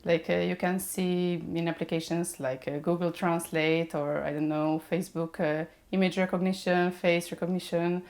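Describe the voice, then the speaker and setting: Serbian woman with a low gravelly voice. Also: fairly even intonation, moderate pace, hardly any pauses, darkish tone. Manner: explains patiently with many hesitations. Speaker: guest; mechatronics engineer. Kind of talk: podcast interview.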